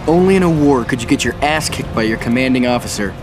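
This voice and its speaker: An actor playing a brash, hot-headed young American naval officer in a war game cutscene. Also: male voice, mid-range voice, expressive, fast, close to an everyday voice. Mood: determined, wry. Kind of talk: game cutscene acting and first-person war narration. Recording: loud music behind.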